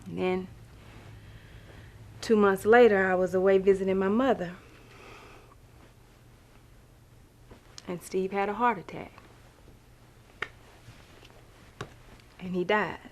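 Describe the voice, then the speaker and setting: An actress playing a wry, love-struck woman who tells her life story in an expressive, sassy, conversational stage monologue. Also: medium pitch, moderate pace, a little hiss or hum, lightly acted. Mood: sorrowful, reflective. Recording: music playing behind the voice.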